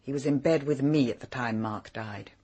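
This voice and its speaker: A woman's voice: a bass voice, normal speed, dark tone, slight background noise. An actress playing a bitter, vengeful mature mother in a radio drama, blunt and intense.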